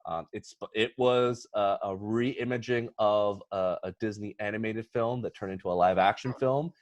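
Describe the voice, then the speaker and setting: A man hosting a casual podcast discussion, talking in an animated, teasing, bantering way. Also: lowish voice, normal speed, no room sound, dull audio. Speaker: podcast host.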